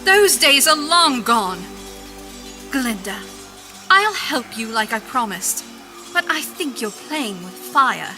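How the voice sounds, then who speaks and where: High, piercingly bright stern witch. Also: sing-song, slow, faint music behind, heavily acted. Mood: stern, worried. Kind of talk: audio drama acting.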